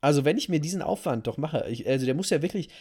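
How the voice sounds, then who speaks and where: A man's voice: a little high, expressive, fast talker, darkish tone, husky. A man co-hosting a casual podcast, speaking in an emphatic way and repeating himself for stress. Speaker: podcast co-host.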